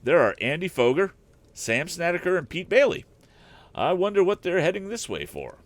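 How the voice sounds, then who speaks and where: Guy doing a confident teen boy hero, storybook kid inventor, higher voice, earnest and upbeat. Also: big pitch swings, moderate pace, dry recording. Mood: puzzled, animated.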